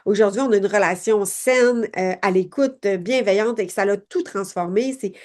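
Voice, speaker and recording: A woman's voice: mid-range voice, normal speed, darkish tone. A woman hosts a podcast, speaking in an earnest, searching way with many fillers and rhetorical questions. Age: late 40s. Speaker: podcast host. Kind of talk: reflective personal monologue.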